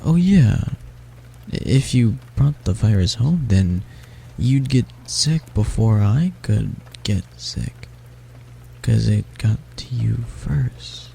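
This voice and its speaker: A man voicing a sick, frightened young male catboy in an audio roleplay. The catboy talks softly, stammers and pleads. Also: lowish voice, animated, slow, creaky, a little hiss or hum, heavily acted. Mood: worried.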